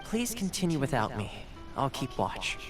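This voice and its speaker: Man as an easygoing, lively young attendant. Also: mid-range voice, moderate pace, music in the background, natural voice. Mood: light, deferential. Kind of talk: scripted game dialogue.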